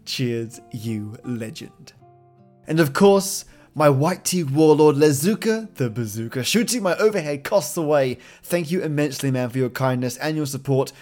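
A man's voice: on the high side, lively intonation, normal speed, darkish tone. A man hosting a podcast, speaking in a colloquial, effusive, matey way. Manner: effusive, with slangy matey address. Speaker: podcast host. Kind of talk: friendly, colloquial podcast outro. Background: plucked guitar music.

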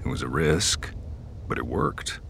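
An actor playing a grown man who recounts his troubled youth in first-person narration, with a very deep voice. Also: expressive, unhurried, creaky, background noise, full character voice. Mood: reflective. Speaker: narrator of an audio drama.

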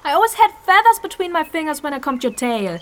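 A woman's voice: high-pitched, sing-song, fast talker, heavy background noise. A woman playing a naive, curious and playful young girl in a fantasy audio drama, talking casually and impulsively.

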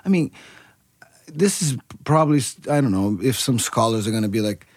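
A man's voice: medium pitch, lively intonation, normal speed. A man from Brazil, a musician, talking casually in an interview with many restarts and fillers. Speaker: musician guest.

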